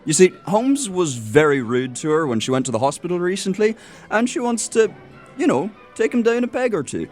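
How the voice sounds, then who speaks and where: A man's voice: sits high, big pitch swings, a little fast, a little mellow, faint music behind. A man playing a jovial, mischievous prankster in audio theatre, with a breezy, conspiratorial manner. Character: jovial, mischievous male prankster, a doctor's acquaintance.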